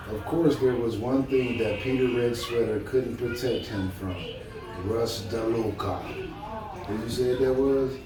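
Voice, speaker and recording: A man's voice: medium pitch, steady tone, a little slow. A man reading a children's storybook aloud, chatting casually and encouragingly and putting questions to his listener. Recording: echoey room, distant mic, music behind. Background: music.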